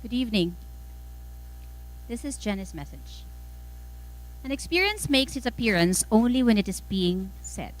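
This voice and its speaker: Filipino lady reading out a prepared speech, mid-pitched, measured, stressing each phrase. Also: sing-song, rough recording. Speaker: guest speaker, former mayor. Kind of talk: formal speech read aloud from a script.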